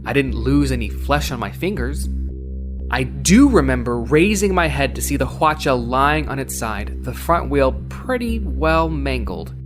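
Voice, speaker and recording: A man's voice: medium pitch, big pitch swings, a little slow, over music. A man playing a gritty, wry cyberpunk courier, telling his story in a hard-boiled, conversational first person. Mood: pained, shocked. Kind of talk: dramatic first-person audio story narration. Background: loud synthwave soundtrack.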